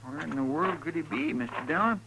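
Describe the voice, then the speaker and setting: A man's voice: on the high side, expressive, a little fast, vocal fry. A man playing a folksy, earnest deputy sidekick with a drawling rural American accent in a 1950s radio western. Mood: puzzled, worried. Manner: drawling, deferential, plain-spoken. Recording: faintly noisy old 1950s radio recording.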